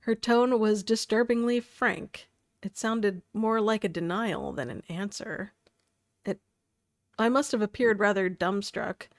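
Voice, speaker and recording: A woman's voice: medium pitch, measured pace, no room sound, studio quality. A woman streaming a visual novel, reading narration aloud with giggly, amused asides. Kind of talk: live game stream with read-aloud narration and reactions.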